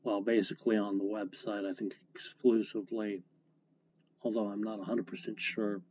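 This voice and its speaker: A man's voice: fairly low pitch, flat delivery, normal speed, darkish tone, a little hiss or hum. A man hosting a casual solo podcast, rambling and correcting himself as he talks. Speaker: comic strip creator, podcast host. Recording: dull lo-fi sound, faint guitar.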